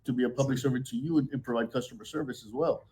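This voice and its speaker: Measured police chief with a mellow voice who stammers while questioning. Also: male voice, mid-range voice, fairly even intonation. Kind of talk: calm questioning.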